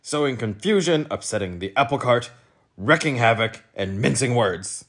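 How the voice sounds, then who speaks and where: A man voicing a short, fierce, bossy policeman who shouts accusations, for a storybook reading. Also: mid-range voice, lively intonation, normal speed, voice pushed up. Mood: bossy, shouting.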